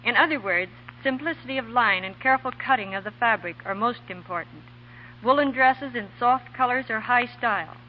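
A woman's voice: fairly high pitch, a little fast. 1940s radio lady, formal scripted fashion talk, muffled with faint noise. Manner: formal, precise reading. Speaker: fashion editor, radio guest. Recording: muffled, noisy 1940 radio broadcast.

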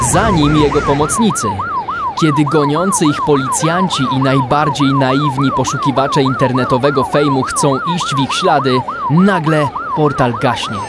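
Male narrator for a kids' radio play, highish pitch, hugely varied intonation. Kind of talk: dramatic scripted narration for children's audio drama. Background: loud music.

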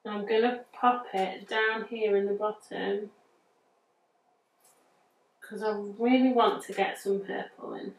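A woman's voice: medium pitch, a bit bright. A woman in her thirties with a northern English accent, talking through a nail art design step by step in a chatty, easygoing way as she thinks aloud. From England. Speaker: nail artist demonstrating a design. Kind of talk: casual step-by-step tutorial talk. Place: bright indoor studio. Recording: roomy, distant mic.

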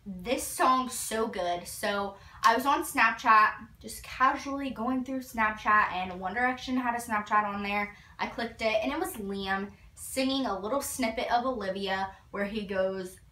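A woman's voice: fairly high pitch, a little slow, few pauses, bright, forceful tone. A teenage girl gushing through a casual album review in her bedroom, full of "like", filmed by a camera a few feet away. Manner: gushing, filler-heavy with frequent "like". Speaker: vlogger. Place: bedroom, indoors. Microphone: fixed camera a few feet away. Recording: roomy, slightly distant.

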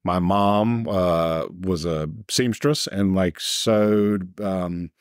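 Easygoing lower-voiced man in a podcast chat. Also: very slow, clean tone, no echo, clean studio sound. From North Carolina. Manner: easygoing, many fillers such as "uh" and "like". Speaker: company co-founder, guest. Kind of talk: casual podcast interview. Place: office set, indoors. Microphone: table podcast mic.

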